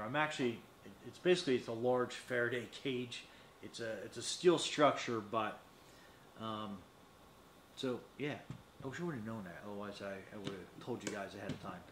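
A man's voice: fairly low pitch, fairly bright tone. A man in his fifties giving a casual, unscripted product demonstration, rambling a little and thinking aloud as he goes. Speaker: product reviewer. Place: small indoor metal-walled studio, seated at a desk. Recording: echoey room with faint noise.

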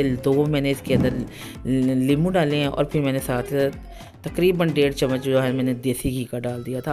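A woman's voice: deep voice, darkish tone. A woman, hosting a home cooking channel, talking through a recipe in a chatty voice-over full of fillers. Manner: chatty, repeats "ठीक है जी", many fillers.

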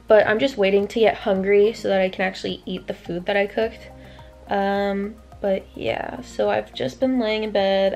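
A young woman in a casual vlog, talking to a handheld camera at arm's length, chatty and rambling with lots of fillers. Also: medium pitch. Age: young adult. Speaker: vlogger. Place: grocery store, car and bedroom.

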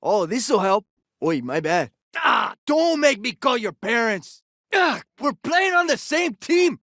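A man's voice: extremely high pitch, very animated, takes it slowly, a bit bright, no room sound, studio quality, heavily acted. A man playing a cocky, wisecracking soldier in a video game, delivering short, punchy combat callouts. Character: cocky, jokey male soldier, sporty ex-jock type. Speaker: video game operator character.

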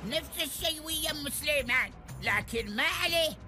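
High pushy old cartoon neighbor, emirati accent. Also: male voice, lively intonation, a little fast, over music. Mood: insistent. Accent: Emirati Arabic.

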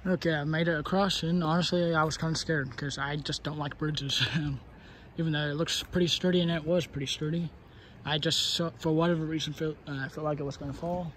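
A man's voice: a little high, fairly even intonation, fairly quick, fairly bright tone, slight background noise. A young man vlogging outdoors on a wooded trail, talking to a phone camera held at arm's length, rambling and hesitant with lots of fillers. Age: young adult. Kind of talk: casual outdoor vlog.

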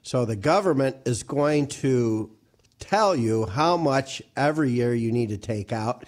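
A man in his mid-sixties walks through retirement rules steadily and precisely. His voice is an ordinary mid pitch. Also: expressive, unhurried. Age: 60s. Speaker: financial advisor. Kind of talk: explanatory advice.

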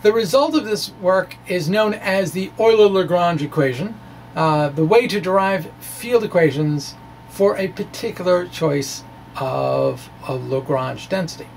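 A man's voice: high-pitched, creaky. A man in his forties presenting a physics lecture in a playful, wry way, moving between scripted reading and informal explaining. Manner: playful, wry dramatic delivery. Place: small indoor room with bookshelves. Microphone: microphone on a stand close in front. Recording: faint steady background noise.

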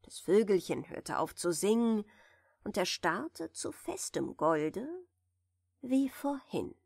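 A woman reading a fairy tale softly and soothingly as a bedtime audiobook narrator, in a measured way. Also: medium pitch, sing-song, creaky, no room sound, studio quality. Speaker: audiobook reader of fairy tales.

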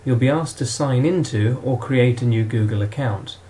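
A man with a mid-pitched, mellow voice talks through how a device works. His delivery is matter-of-fact and instructional, like a straightforward demonstration. Some ambient noise sits behind the muffled recording. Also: moderate pace. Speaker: product demonstrator. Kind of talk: instructional product walkthrough narration.

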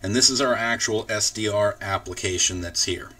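A man hosting a casual software walkthrough, explaining things informally step by step. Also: on the low side, moderate pace, recorded from a distance. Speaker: tutorial host.

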